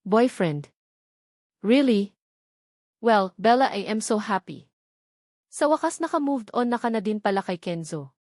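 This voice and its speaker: A woman's voice: medium pitch, lively intonation, unhurried, pauses often, darkish tone, no echo, clean studio sound, close to an everyday voice. A Filipino woman voicing a catty, smirking young female rival in storybook dialogue. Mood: smug, mocking.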